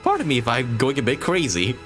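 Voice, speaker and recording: A man's voice: medium pitch, wildly swooping pitch, moderate pace, music in the background. A man livestreaming casual gameplay commentary in an irreverent, profane, joking manner.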